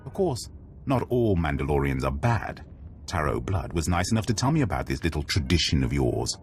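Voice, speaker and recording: A hostile spaceport guard, blustering and insulting, his pitch leaping all over the place. A faint game score plays behind. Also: big pitch swings, normal speed, soft background music, exaggerated voice.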